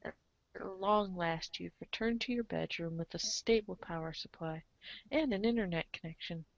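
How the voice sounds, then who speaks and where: A wry storybook narrator, played by a woman, with a slightly low voice. The delivery is scripted and theatrical, recorded close with no room sound. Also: moderate pace, gravelly, no echo, lo-fi sound. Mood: wry narrating. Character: wry storybook narrator addressing the hero as you. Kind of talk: scripted second-person comedic narration.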